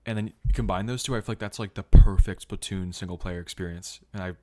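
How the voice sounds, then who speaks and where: A man's voice: sits low, fairly even intonation, fast talker, mellow. A man talking casually as a podcast co-host. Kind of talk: casual chat.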